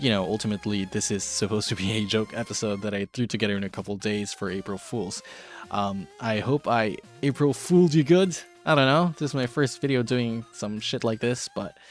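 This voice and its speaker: A man hosting a casual, narrated art tutorial in a chatty, informal, self-deprecating way. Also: medium pitch. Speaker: host of an art tutorial show. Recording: background music under voice. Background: music.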